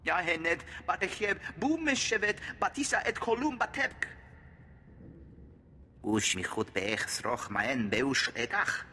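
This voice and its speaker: In a long reverb, a dramatic male alien from a video game speaks an invented tongue. His voice is high and bright, swinging widely in pitch. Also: very animated, measured pace, full character voice. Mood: grave, dramatic. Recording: long reverberant hall, faint ambient noise.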